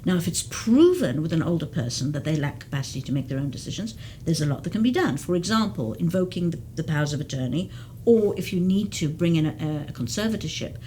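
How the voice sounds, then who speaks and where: A woman's voice: low voice, animated, quick pace, hardly any pauses, dark tone, slight background noise. A woman who works as a geriatric case manager, explaining at length in an interview with frequent restarts. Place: community TV studio.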